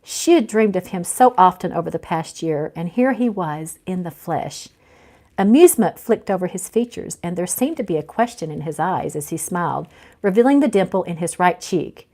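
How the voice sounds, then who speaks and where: A woman author reading her novel aloud in an animated storytelling style. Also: lowish voice, moderate pace. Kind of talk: animated audiobook-style narration.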